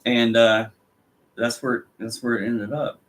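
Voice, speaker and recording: A man's voice: mid-range voice, level tone, a little slow, a little hiss or hum. A man co-hosting a live chat show, talking casually, trailing off mid-sentence and laughing often. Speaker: co-host.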